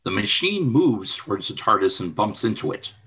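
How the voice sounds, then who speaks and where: A man narrating a scripted radio play as its announcer, in a dramatic, measured storytelling manner. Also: lowish voice, sing-song, a bit bright, slightly rough, muffled recording.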